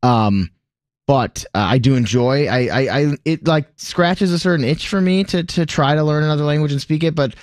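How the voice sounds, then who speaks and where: A man's voice: a little high, normal speed, dry recording. A man co-hosting a casual radio talk show from a studio, upbeat and rambling, with lots of fillers and self-corrections. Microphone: studio microphone.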